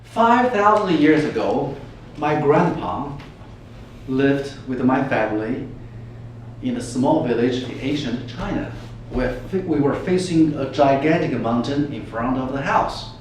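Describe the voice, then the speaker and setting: A man's voice: medium pitch, expressive, measured pace. Echoey, distant man giving a theatrical speech. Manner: theatrical, emphatic, rhetorical questions to listeners. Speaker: club speech contestant. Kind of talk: storytelling contest speech, dramatic public speaking. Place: large echoey room, speaking to an audience. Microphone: distant microphone. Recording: echoey room from far off, faint noise.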